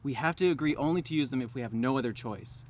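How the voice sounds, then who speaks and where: A man's voice: medium pitch, fast, very noisy, natural voice. A man in his twenties playing a cautious, earnest young survivor in a post-apocalyptic short film. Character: young male survivor guarding the cards. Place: outdoors in dry scrubland.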